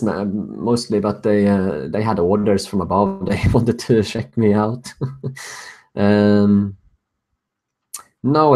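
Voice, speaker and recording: A man's voice: on the low side, a little mellow. A young Swedish man with a Swedish accent hosting a casual live-stream Q&A, answering viewer questions and chuckling often. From Sweden. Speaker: host.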